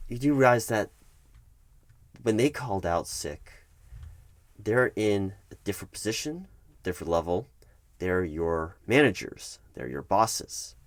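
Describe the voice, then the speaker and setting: A man performing a one-sided ASMR roleplay as a firm, bureaucratic HR manager, explaining things in a measured, officious way with halting emphasis. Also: on the low side, expressive, no room sound.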